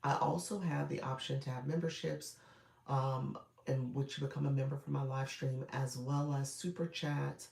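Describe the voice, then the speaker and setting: A woman coaching viewers on live streaming in a casual, chatty way, congested from a cold with a froggy voice, often clearing her throat and using fillers. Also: very deep voice, level tone, moderate pace, a little mellow. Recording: roomy echo.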